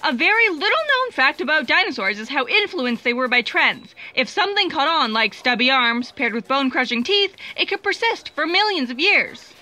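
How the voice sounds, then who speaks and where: A woman's voice: high-pitched, sing-song, normal speed, few pauses, sharp tone, full character voice. A woman playing a whimsical know-it-all storyteller, giving scripted explanations in sci-fi audio theatre.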